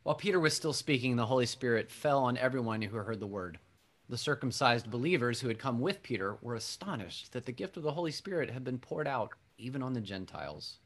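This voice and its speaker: A man's voice: mid-range voice, expressive, a little fast. Guy pastor at a church service, earnest and heartfelt, reads a bible passage then introduces a guest, voice sits mid-range. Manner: earnest, heartfelt, with some restarts. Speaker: Presbyterian pastor and podcaster hosting a conversation. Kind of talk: worship-service dialogue, welcoming and introducing a guest.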